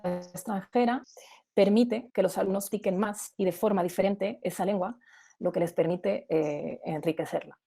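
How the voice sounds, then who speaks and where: Female webinar presenter, warm dark-toned voice, didactic explanatory delivery built around examples. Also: medium pitch, steady tone, normal speed, gravelly, soft background music.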